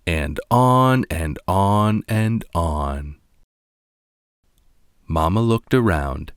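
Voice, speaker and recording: A man narrating a children's storybook as a read-along, with a deliberate, expressive delivery. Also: low-pitched, clear, resonant tone, no room sound.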